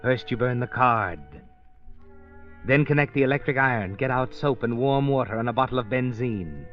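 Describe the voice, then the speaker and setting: A man's voice: mid-range voice, normal speed, close to an everyday voice. Man acting an ominous old-time radio mystery narrator. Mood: sinister, coaxing. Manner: menacing, insinuating, clipped urging. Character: ominous all-knowing male narrator, needling a guilty woman. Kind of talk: 1940s radio mystery narration in second person. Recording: dull old radio recording with music behind.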